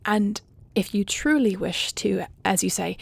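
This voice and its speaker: A woman playing a regal, tender dragon queen in an audio roleplay, speaking gently with formal, measured diction. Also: mid-range voice, vocal fry, husky, no room sound, heavily acted. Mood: affectionate, gentle. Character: regal dragon queen, tender, earnest wife and would-be adoptive mother. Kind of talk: scripted audio roleplay drama.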